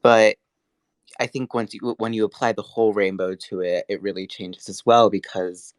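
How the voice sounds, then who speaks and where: A young man from Texas, a guest artist, speaking in a soft, hesitant way with pauses in a casual conversation. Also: lowish voice, fairly even intonation, no echo, clean studio sound. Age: young adult.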